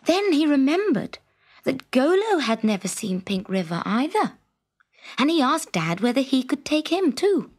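A woman narrating a scripted children's story in an expressive, dramatic storytelling manner. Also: high voice, a little slow. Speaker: storyteller, audiobook reader.

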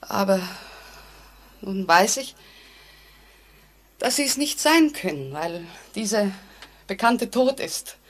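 A woman's voice: mid-range voice, big pitch swings, slow, pauses often. An actress playing a nervous, frightened woman in a 1940s-style radio play, speaking in a hesitant, anxiously polite way. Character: nervous, increasingly frightened woman trapped in an elevator. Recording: noisy vintage radio drama recording.

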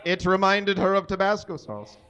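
A man in his thirties gives a live reading of a story from a small stage. His voice sits a little high and swings widely in pitch. He speaks into a microphone as a performer reading from a page. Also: lively intonation, moderate pace, pushed and bright, lo-fi sound. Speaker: reader at a live comedy show. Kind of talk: dramatic reading of fan fiction to an audience. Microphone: handheld or stand microphone.

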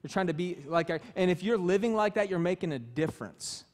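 A man's voice: high-pitched, expressive, brisk. A man in his thirties preaching a sermon as pastor, emphatic and passionate, in a small church. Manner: passionate, emphatic, gesturing freely while preaching. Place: small church stage with wood-panel walls.

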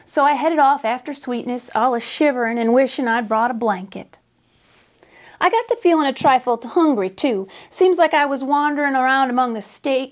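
A middle-aged American woman reading a children's story aloud with expressive, drawling, folksy Western dialect, recorded indoors on a lapel mic. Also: high voice.

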